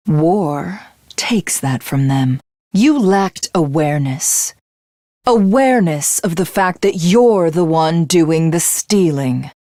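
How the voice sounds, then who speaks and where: Imperious, slow-speaking alien warlord goddess. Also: female voice, fairly low pitch, a little mellow, dry recording, studio quality. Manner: haughty, formal, theatrical, deliberate. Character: imperious female alien warlord who deems herself a god. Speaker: voice actress. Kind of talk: English video game character voice lines.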